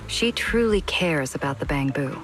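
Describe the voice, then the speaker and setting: A woman voice acting a composed, dutiful department head in a video game, speaking in a measured, formal and resolute way. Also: sits low, animated, faint music behind. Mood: apologetic, restrained. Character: composed, dutiful female department head, shrewd political strategist.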